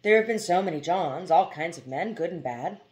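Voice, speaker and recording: A woman voicing a thoughtful young mother and protective wife in a storybook, gentle and questioning. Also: lowish voice, expressive, normal speed, natural voice.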